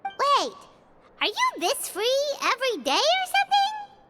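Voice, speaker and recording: A woman voicing a small, chirpy, childlike fairy companion in a video game, excitable and curious, speaking of herself in the third person. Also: very high voice, unhurried, heavy background noise, heavily acted. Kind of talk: scripted video game dialogue. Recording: loud game music underneath.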